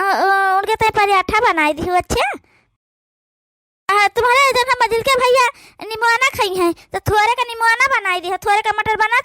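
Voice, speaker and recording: A woman with an Awadhi accent voicing a gossiping, sharp-tongued married sister-in-law in a cartoon, full of exclamations. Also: very high voice, no room sound, studio quality, voice pushed up. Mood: bossy. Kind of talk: cartoon voice acting.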